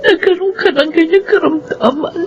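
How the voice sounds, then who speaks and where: A woman's voice: extremely high pitch, normal speed, dull audio, over music. A woman playing a loving, remorseful mother in a Filipino radio drama, pleading tenderly.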